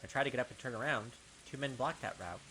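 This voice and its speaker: A man with a bright, forceful voice of medium pitch narrates a visual novel. His delivery is dramatic and expressive, like a storyteller, with pauses for effect. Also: a little hiss or hum, rough recording. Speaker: gaming video host.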